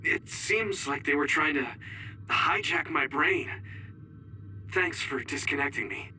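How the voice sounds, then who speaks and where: A man playing an earnest, gentle teenage boy soldier in an English-dubbed anime game. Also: fairly high pitch, animated, slow, distant mic, slight background noise, full character voice, rough recording. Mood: dazed, grateful.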